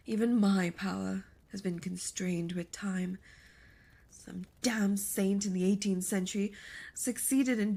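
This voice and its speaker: A woman voicing a seductive, imperious and gloating succubus demon in an ASMR audio roleplay, breathy and often lapsing into whispers, with a theatrical, condescending delivery. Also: medium pitch, darkish tone. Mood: brooding.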